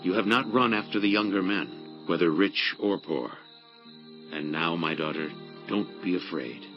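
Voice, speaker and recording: A man's voice: deep voice, moderate pace, dull audio, soft background music. A man playing a kindly, honorable landowner of ancient Israel in a dramatized Bible reading, earnest and declamatory. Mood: kind, reassuring. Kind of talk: dramatized Bible audiobook acting.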